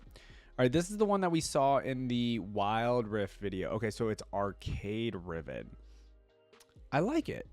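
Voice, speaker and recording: A man's voice: medium pitch, darkish tone, no room sound. A man giving casual, slangy reaction commentary as a video host, thinking aloud as he goes.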